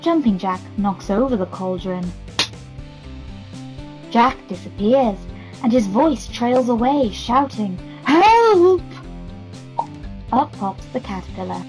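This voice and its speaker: Female narrator for children's puppet theatre, higher pitch, faint music behind. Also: unhurried, darkish tone.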